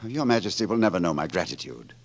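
An actor in a 1940s radio drama playing a swaggering, boastful vagabond poet with a florid, theatrical delivery. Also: male voice, deep voice, big pitch swings, fast. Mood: wry. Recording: vintage 1940s radio broadcast.

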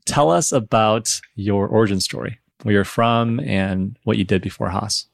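Gravelly-voiced male podcast host. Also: on the low side, moderate pace, dry recording, studio quality. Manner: enthusiastic, friendly questions. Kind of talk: podcast interview.

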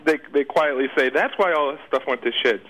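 A man's voice: on the high side, animated, measured pace, sharp tone, a little hiss or hum, low-quality recording. Rambling, informal man in a casual interview. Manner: rambling, hesitant, informal and slangy. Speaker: interview guest, internet marketer.

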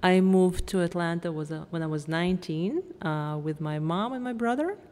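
Russian-accented actress, young woman, lowish voice, bubbly podcast chat, roomy reverb. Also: moderate pace, a little mellow. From Moscow, Russia. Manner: bubbly, laughs a lot. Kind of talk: casual chat.

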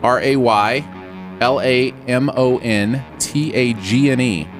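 A man co-hosting a casual music review chat, animated and joking, laughing often. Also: medium pitch, slow pace. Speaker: podcast co-host. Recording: music playing in background.

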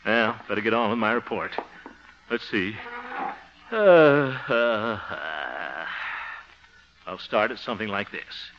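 A man's voice: fairly low pitch, expressive, slow pace, pauses often, fairly bright tone. Blustering, swooping radio sitcom man. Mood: wry, dry. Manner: blustering, muttered asides, frequent chuckles. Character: exasperated, pompous, good-hearted grown son and self-styled mentor. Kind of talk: 1950s radio sitcom acting. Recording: dull old-time radio recording.

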